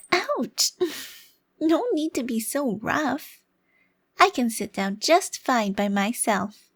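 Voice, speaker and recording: A woman's voice: medium pitch, gravelly, no room sound. A woman playing a smug, taunting, youthful-looking vampire crime lord in an ASMR roleplay, with a theatrical, condescending delivery. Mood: indignant, defiant. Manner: theatrical, condescending, formal wording with drawn-out emphasis. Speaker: audio roleplay performer.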